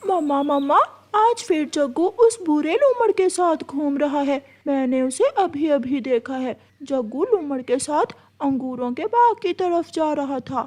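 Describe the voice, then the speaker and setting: A woman's voice: high voice, animated, unhurried, few pauses, a little mellow. A woman voicing a concerned little male bird in a children's cartoon, urgent and scolding as he warns. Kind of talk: children's animal cartoon voice acting.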